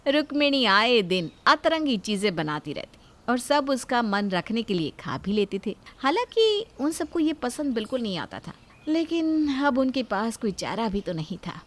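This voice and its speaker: A woman's voice: fairly high pitch, sing-song, normal speed. A woman reading scripted narration for a family story, as its storyteller.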